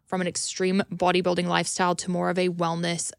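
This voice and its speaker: A woman's voice: lowish voice, completely flat delivery, quick pace, gravelly, clear, resonant tone, no echo, studio quality. A woman hosting a casual podcast interview, upbeat and gushing. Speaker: podcast host.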